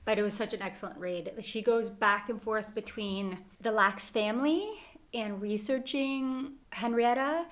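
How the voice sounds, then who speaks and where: A woman on a book club podcast, hosting segments and telling a story in a lively, casual way with frequent laughs. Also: medium pitch, a little slow.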